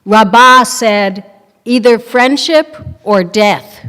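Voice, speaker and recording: A woman's voice: on the high side, takes it slowly, a bit bright, clean tone. An older woman giving a scripted public reading in a readers theater. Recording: echoey hall reverb.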